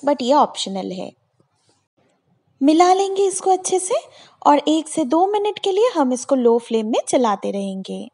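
A woman hosting a home cooking channel, talking viewers through a recipe step by step in a friendly, instructive voice-over. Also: sits high. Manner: friendly, instructive, repeats steps clearly.

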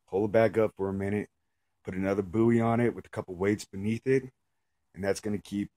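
Middle-aged man, very low voice, easygoing how-to delivery, dry recording. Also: darkish tone, no room sound. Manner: plainspoken, says "okay" and "right" often. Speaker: fishing vlogger. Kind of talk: casual how-to talk.

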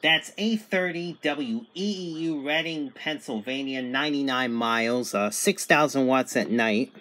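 A man's voice: medium pitch, measured pace, sharp tone. A man reviewing a radio, listing each station's call letters, city and distance as he tunes. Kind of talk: casual product review. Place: small indoor room at a table.

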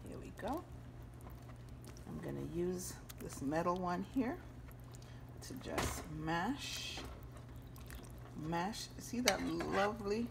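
Chatty lady cooking in her kitchen, slow easy talk, lowish voice, pan sizzling behind. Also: expressive, creaky, noisy background. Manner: chatty, folksy, talks straight to viewers. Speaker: home cooking channel host. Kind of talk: casual cooking demonstration. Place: home kitchen at the stove. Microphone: handheld phone camera.

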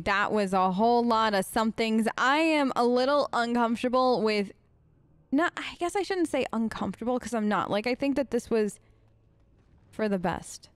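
A woman's voice: fairly high pitch, clean tone, no room sound. A woman doing casual, improvised role-play game commentary as a let's play host, chatty, earnest and rambling.

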